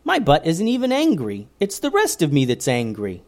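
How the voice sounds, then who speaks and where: A man's voice: on the high side, wildly swooping pitch, moderate pace, a little mellow, voice pushed up. A man reading a storybook aloud and voicing an eager young boy who blurts and stammers. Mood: indignant. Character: brave excitable young boy.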